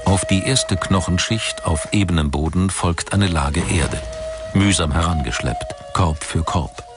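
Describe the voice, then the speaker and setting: A man narrating a scripted documentary in a measured, articulate storytelling manner. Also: deep voice. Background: background music.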